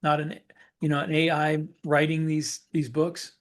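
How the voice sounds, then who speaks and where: A man chatting casually as a podcast co-host, thinking aloud in halting phrases that he often repeats. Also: a little high, completely flat delivery. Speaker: podcast co-host and fiction author.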